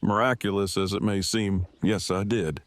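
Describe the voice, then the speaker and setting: An actor playing a wordy, earnest survivor in an audio drama, with stilted, formal and deliberate diction. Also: male voice, lowish voice, fairly even intonation, dry recording, natural voice. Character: verbose, formal, earnest man in love, post-apocalyptic survivor.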